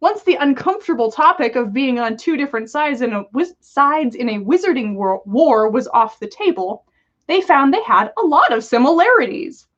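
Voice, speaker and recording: A woman's voice: high-pitched, animated, moderate pace, a bit bright, dull audio. A woman narrating a comic story aloud as a podcast host.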